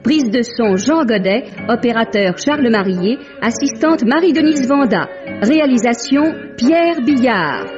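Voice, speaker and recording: A female announcer with a fairly high voice reads credits formally. Clear music plays behind her. The sound is a dull vintage radio recording. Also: expressive, unhurried.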